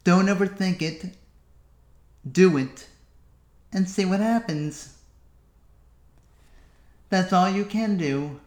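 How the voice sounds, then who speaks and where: A man hosting a solo podcast, wandering through his thoughts and pausing often. His voice sits a little high for a man, and it echoes off the walls of the room he is recording in. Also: a little mellow. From United States. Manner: musing, self-talking, with long pauses. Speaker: podcast host. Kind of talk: solo reflective podcast monologue.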